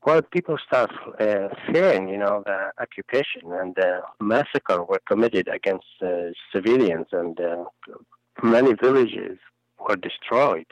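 Deep-voiced Palestinian man on a phone line. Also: lively intonation, dry recording. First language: Arabic. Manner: earnest, halting, non-native grammar. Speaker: interview guest. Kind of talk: phone interview. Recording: muffled phone recording.

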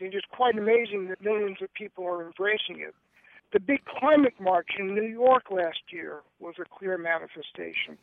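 Man speaking over a tinny phone line. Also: high-pitched. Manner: thoughtful, hesitant restarts; measured reading. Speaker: guest poet and activist. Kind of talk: radio interview and poetry reading.

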